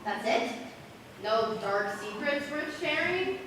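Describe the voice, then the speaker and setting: Very echoey and noisy, this is a young woman playing a curious, wry forest wanderer on stage. Her high voice reaches the mic from a distance, with the manner of a school drama. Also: lively intonation, slow, a bit bright, heavily acted. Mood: friendly, probing. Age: teens or young adult. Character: curious, wry, searching girl who comforts forest visitors. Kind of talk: school stage play acting.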